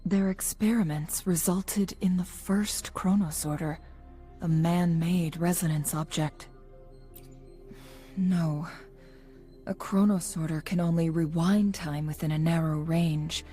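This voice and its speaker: Mellow, composed game investigator. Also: female voice, fairly low pitch, fairly even intonation, soft background music. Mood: grave, explanatory. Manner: measured, serious, explanatory. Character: composed, analytical young woman guide and investigator. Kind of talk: scripted video game dialogue.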